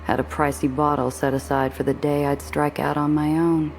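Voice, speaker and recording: A woman's voice: deep voice, little pitch movement, normal speed, gravelly, a little mellow, faint music behind, rough recording. A woman playing a tough, guarded smuggler who narrates her own noir game story in a wry way. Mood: wistful.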